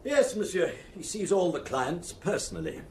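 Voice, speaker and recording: An actor playing a fussy, discreet head clerk, formal and nervously deferential, with hesitant, precise phrasing in a radio drama. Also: male voice, high-pitched, wildly swooping pitch, moderate pace, a little mellow, slight background noise. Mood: prim, chatty.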